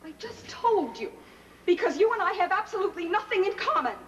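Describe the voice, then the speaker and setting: Woman acting a very high-pitched, dramatic young wife. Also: sing-song, brisk, muffled recording. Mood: coldly resolute. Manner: theatrical, emphatic, indignant. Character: emotional, stubborn young newlywed wife, dramatic and impulsive. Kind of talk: stage comedy acting. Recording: roomy, distant, clearly noisy stage recording.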